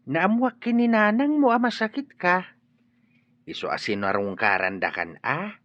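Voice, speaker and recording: A man playing a caring middle-aged father in a radio drama, speaking gently. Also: high-pitched, very animated, unhurried, no echo. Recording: dry muffled studio audio.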